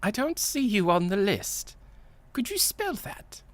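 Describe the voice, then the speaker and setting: A man voicing an officious, fussy receptionist with a prim, halting delivery. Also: high-pitched, very animated, dry recording, pitched above the performer's own voice. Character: officious, fussy receptionist guarding the front desk.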